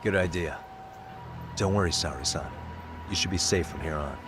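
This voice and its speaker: An actor playing a level-headed detective in an English game dub, measured and explanatory as he briefs his allies. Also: sits low, over music, close to an everyday voice. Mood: serious, steady.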